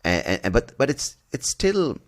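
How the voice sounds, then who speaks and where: A male podcast host from India, talking in a halting way with frequent restarts, his voice jumping higher when he stresses a point. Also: very animated. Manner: halting, with many restarts and fillers, pitch jumping up when emphatic. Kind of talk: casual podcast conversation.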